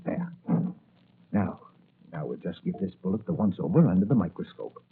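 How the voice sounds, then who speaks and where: An actor playing a kindly, conspiratorial doctor and apothecary with a deliberate manner in 1940s-style radio drama. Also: male voice, sits low, big pitch swings, breathy edge, heavily acted. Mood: friendly, pensive. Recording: muffled, narrow-band vintage radio recording.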